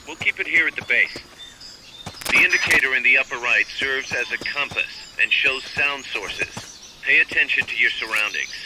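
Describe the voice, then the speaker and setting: An actor voicing a confident male military commander who gives brisk, instructive orders over the radio in a game. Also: medium pitch, natural voice. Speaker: mission support on radio. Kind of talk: video game cutscene and tutorial dialogue. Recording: game audio with noisy background.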